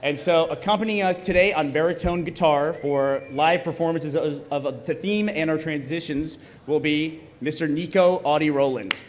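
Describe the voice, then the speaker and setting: A man's voice: on the high side, normal speed, few pauses. A man hosting a live stage event, bantering with the audience in a playful, teasing manner. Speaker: host of a live table read. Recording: live stage PA with clear background noise.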